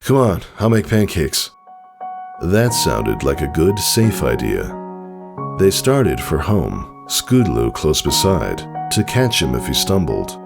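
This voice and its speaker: A man's voice: a bass voice, sing-song, slow, gravelly, over music. A man narrating a scripted story in a dramatic reading style. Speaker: fan fiction narrator.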